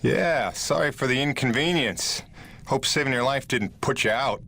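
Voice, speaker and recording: A man's voice: mid-range voice, normal speed, creaky, a bit bright. An actor playing a plainspoken working-man hero in a video game, casual and dryly wry. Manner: casual, dry, wisecracking. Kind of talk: video game character dialogue.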